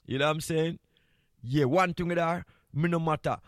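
A Jamaican man talks casually as a morning radio host, his voice sitting a little high. He is playful and candid, often repeating a phrase to drive it home. The sound is dry, with very little room on it. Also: moderate pace, no room sound.

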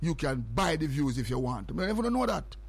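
A Jamaican man hosting morning radio, talking in a fairly high voice. He loops the same phrases and reads numbers out again and again, building up his audience. His delivery is pure broadcast patter. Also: sing-song, a little fast, breathy edge. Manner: repetitive, hypes and repeats phrases and numbers. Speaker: radio host.

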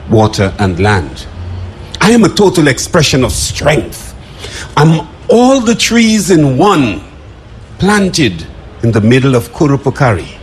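A man performing a dramatic spoken-word poem in a declamatory, drawn-out delivery. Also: slight background noise. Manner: declamatory, drawn-out delivery with big swings in pitch.

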